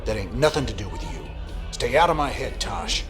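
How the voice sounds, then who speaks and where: An actor playing a weary rebel commander with a dry, laconic drawl. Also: male voice, medium pitch, lively intonation, normal speed, over music, lightly acted.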